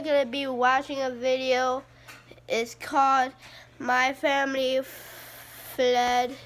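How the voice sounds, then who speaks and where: A woman hosting a casual reaction video, talking haltingly and often repeating her words. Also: high-pitched, fairly bright tone. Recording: faint background noise.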